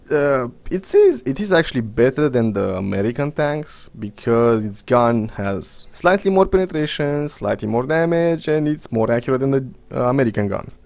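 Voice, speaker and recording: A man's voice: mid-range voice, vocal fry, darkish tone. Romanian-accented young man, sarcastic gaming chatter. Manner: rambling, blunt and sarcastic, swears casually. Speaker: gaming commentator. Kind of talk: casual gameplay commentary.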